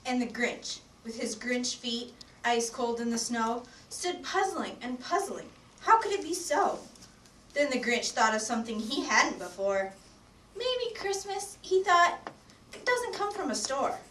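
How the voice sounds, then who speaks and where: Fairly high young woman reading on stage. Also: big pitch swings, a little slow, fairly bright tone. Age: young adult. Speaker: readers theatre narrator. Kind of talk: scripted stage storytelling. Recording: echoey room, distant mic, faint noise.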